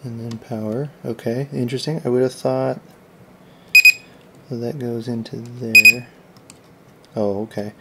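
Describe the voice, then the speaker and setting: Creaky-voiced repair host muttering over loud noise. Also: mid-range voice, steady tone, measured pace, pauses often. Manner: rambling, muttering, thinking aloud. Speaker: video host repairing electronics. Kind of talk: casual off-the-cuff repair commentary. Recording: noisy recording with clicks.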